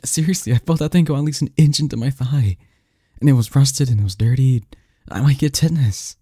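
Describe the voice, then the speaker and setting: A man acting a snarky, cynical young research assistant who gets flustered, in an intimate audio roleplay with a husky, halting delivery. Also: mid-range voice, mellow, no room sound, clean studio sound, natural voice. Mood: pained, panicky.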